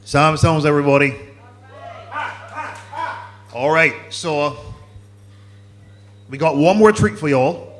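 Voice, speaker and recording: A man hosting an open mic night, introducing the next act with rising hype. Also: fairly high pitch. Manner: hyping, building up to a shout. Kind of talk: stage introduction.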